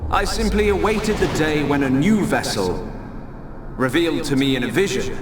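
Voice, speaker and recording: A man voicing an arrogant creator god in a game cutscene, pompous and condescending in measured speech. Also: a little high, animated, noisy background, lightly acted. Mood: lofty, contemptuous. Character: arrogant godlike creator, male, serene and contemptuous. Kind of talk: dramatic game cutscene acting. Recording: echoing voice under loud score.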